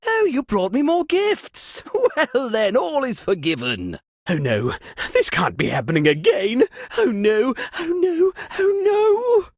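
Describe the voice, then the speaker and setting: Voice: medium pitch, very animated, slow pace, no echo, studio quality, exaggerated voice. An actor playing an anxious, exasperated, posh party host in a murder mystery video game, with a theatrical, fretful delivery. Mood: flattered, forgiving, then dismayed, pleading. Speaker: game character voice lines. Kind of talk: theatrical comedic game voice acting.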